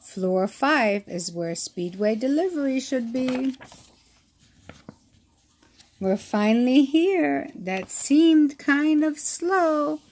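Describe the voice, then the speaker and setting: A woman reading a children's picture book aloud, with an expressive storytelling delivery. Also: high-pitched. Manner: slow, expressive reading with acted-out sound effects.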